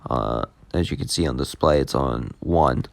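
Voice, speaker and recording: A man giving a casual, unscripted tech demo in a halting, repetitive way that tends to trail off. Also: very deep voice, gravelly. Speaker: video host demonstrating a walkie-talkie app.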